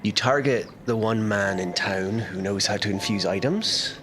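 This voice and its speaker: A man playing in a tabletop game, talking in a lively, animated way as he plans with the group. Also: on the low side, normal speed. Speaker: player. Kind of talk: tabletop roleplay chat. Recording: music underneath.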